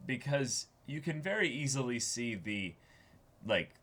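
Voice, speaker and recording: A man's voice: mid-range voice, animated, a little slow, fairly bright tone. A man co-hosting a casual reaction discussion, often repeating words and phrases for emphasis. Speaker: co-host of an anime reaction show.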